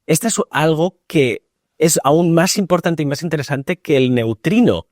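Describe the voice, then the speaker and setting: A man's voice: on the high side, moderate pace, darkish tone, no room sound. A man on a studio panel, arguing emphatically with a string of rhetorical questions. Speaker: panel guest. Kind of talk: round-table discussion.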